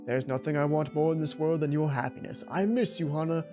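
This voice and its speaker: A woman's voice: low voice, fairly quick, music in the background, pitched below the performer's own voice, lightly acted. A woman voicing a loving, weary, lonely father in a visual novel, reading his letter tenderly. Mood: tender, wistful.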